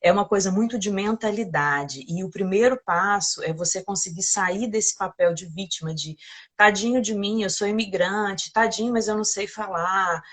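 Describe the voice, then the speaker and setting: A woman's voice: medium pitch, moderate pace, fairly bright tone. Woman host, live chat, enthusiastic, encouraging, asks lots of questions. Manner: enthusiastic, encouraging, laughs often. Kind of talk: live interview hosting.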